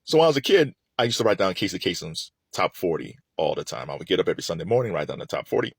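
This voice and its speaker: A middle-aged man hosts casual music commentary in a low voice that swings widely in pitch. He talks conversationally and restates his points for emphasis. The sound is close and dry. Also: big pitch swings, racing, husky, no room sound. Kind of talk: casual opinionated solo commentary.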